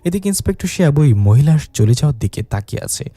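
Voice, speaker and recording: A man's voice: a little high, very animated, fast talker, dark tone, no echo. A man narrating a ghost story in a dramatized storytelling style.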